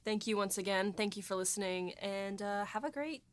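A woman's voice: medium pitch, normal speed. A woman hosting a podcast in a casual, chatty and friendly way. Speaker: podcast host.

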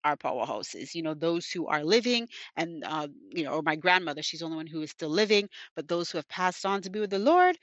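Podcast host, young woman, lowish voice, dry close mic, earnest and chatty. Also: expressive, a little fast, hardly any pauses, no room sound, highs cut off. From United States, partly raised in Jamaica. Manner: fervent, repeats thanks and "God" often; chatty, many "you know" fillers. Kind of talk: prayer and personal storytelling.

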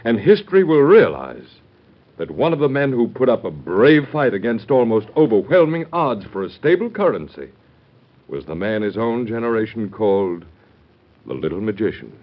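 An actor with an American accent playing a loyal, admiring cabinet secretary in a mid-century radio drama, formal and earnest. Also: male voice, lowish voice, big pitch swings, moderate pace. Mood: solemn admiration. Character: loyal, admiring male cabinet secretary consoling his president. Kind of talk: 1940s-50s radio drama acting. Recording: muffled vintage radio broadcast recording.

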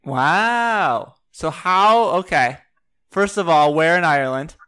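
A man's voice: a little high, expressive, slow, pushed and bright, clean tone, dry recording, studio quality. A man hosting a casual trivia podcast, upbeat and joking as he questions callers. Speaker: podcast host.

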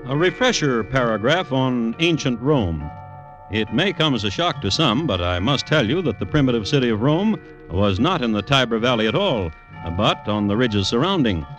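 A man's voice: medium pitch, big pitch swings, fairly bright tone. Man narrating old radio history, music behind. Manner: measured, dryly lecturing. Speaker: narrator and host. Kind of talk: scripted 1950s radio crime-history narration.

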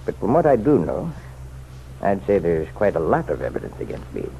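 A man's voice: deep voice, very animated, a little fast, gravelly, mellow, exaggerated voice. An actor in an old-time radio drama playing an insistent, folksy townsman who offers pointed, chummy advice. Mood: earnest, pressing. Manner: folksy, chummy, leaning and persuasive. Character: insistent, insinuating townsman, nosy self-appointed helper to the sheriff. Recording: muffled, noisy vintage radio recording.

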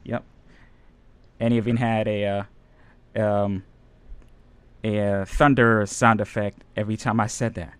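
A man who is a radio DJ and audio editor, chatting casually in a radio interview, relaxed and rambling with many fillers. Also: fairly low pitch, unhurried, pauses often, clear, resonant tone.

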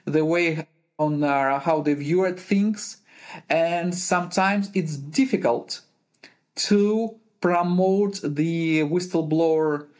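Measured man from Uzbekistan, searching phrasing. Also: on the high side, a little mellow. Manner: measured, searching for words, non-native phrasing. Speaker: compliance lawyer, podcast guest. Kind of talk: thoughtful conversational answers. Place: remote call, based in Europe.

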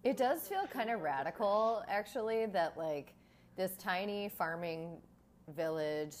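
A woman's voice: medium pitch, animated, unhurried, a little hiss or hum. A woman hosting a podcast, chatting casually and using a lot of filler words. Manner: chatty, many "like"s. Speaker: podcast host. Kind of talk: casual interview.

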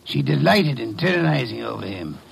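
A man playing a shrewd, pompous gentleman detective with formal, deliberate phrasing and a gravelly, husky voice in a 1950s radio drama. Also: medium pitch, sing-song, full character voice. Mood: commanding, probing.

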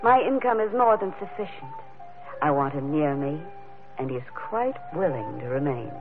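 Haughty priestess, old radio. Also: female voice, low voice, sing-song, normal speed. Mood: cool, smug. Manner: imperious, cool, formal. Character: haughty, languid, scheming high priestess. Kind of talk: 1940s radio drama acting. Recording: narrow vintage radio recording, faint music.

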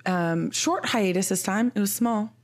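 A woman's voice: medium pitch, unhurried. A woman in her thirties, co-hosting a podcast in casual chat, sounding stuffy and sniffly from a cold, chatty and laughing at her own complaints. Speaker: podcast co-host.

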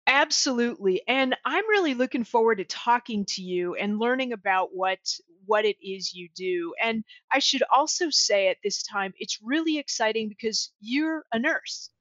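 A woman's voice: fairly high pitch, animated, normal speed, few pauses, fairly bright tone, dry recording, dull audio. A woman hosting a healthcare podcast and interviewing her guest in an upbeat, encouraging way. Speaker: podcast host.

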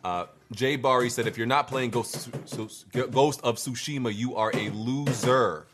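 A man's voice: medium pitch, unhurried, over loud music. A man hosting a casual livestream, reading viewer comments aloud and adding dry, laid-back remarks. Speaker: host reading viewer super chats.

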